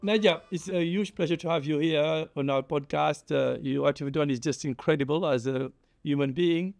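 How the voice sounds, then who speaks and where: A man hosting an interview from a studio set, giving measured, formal introductions and questions. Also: a little high, vocal fry, darkish tone, dry recording, faint music behind. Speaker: host.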